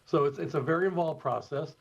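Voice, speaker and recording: American guy, expert guest explaining stuff, slightly high voice, measured, kinda muffled remote interview audio. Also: gravelly, slightly rough. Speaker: expert guest, former airport communications director. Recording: dull, slightly lo-fi remote feed.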